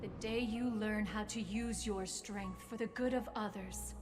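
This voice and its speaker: A woman voicing a wise, gentle mentor in a dramatic game scene, speaking slowly and solemnly. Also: mid-range voice, steady tone, lightly acted. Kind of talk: English game voice acting, dramatic. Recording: loud music behind.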